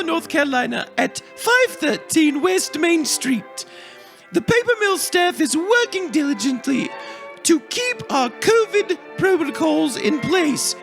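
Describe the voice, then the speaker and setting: A theatrical lady radio star acted by a woman reading a sponsor spot. Her high, creaky voice moves slowly and grandly with a playful, showy air, over faint music. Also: full character voice. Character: theatrical self-styled female international radio star, sponsor pitchwoman.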